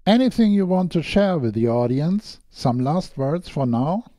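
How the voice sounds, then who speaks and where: A man's voice: fairly high pitch, animated, measured pace, dark tone, dry recording, studio quality. A man working as a podcast interviewer, speaking in prepared questions and remarks. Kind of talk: scripted interview questions and sign-off.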